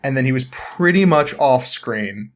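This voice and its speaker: A man's voice: medium pitch, animated, measured pace. Casual young man, rambling recap. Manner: frequent chuckling, informal. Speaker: podcast guest.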